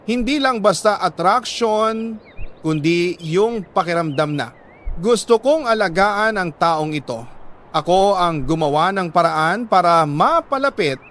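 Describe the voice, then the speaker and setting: A Filipino man narrating a confessional love story in an emotive, deliberate way, with dramatic pauses. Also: sits high, a bit bright, a little hiss or hum. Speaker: radio host and storyteller reading a listener's letter.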